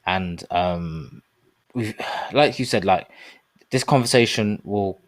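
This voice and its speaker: A man's voice: low-pitched, slow pace, crowd noise. A man in his thirties co-hosting a casual discussion podcast, talking in an earnest, rambling way with promotional asides. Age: 30s. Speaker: podcast co-host.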